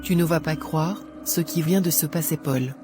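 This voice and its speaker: A man's voice: on the high side, normal speed, lightly acted. Man as fretful cartoon mother, music behind. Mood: indignant. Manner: fretful, indignant.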